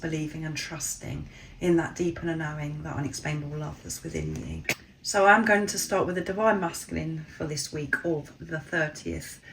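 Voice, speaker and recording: A woman with a croaky, hoarse voice from a cold, gently reading tarot cards and addressing listeners warmly as souls. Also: deep voice, slight background noise, rough recording. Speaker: tarot card reader. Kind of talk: spiritual card reading to camera.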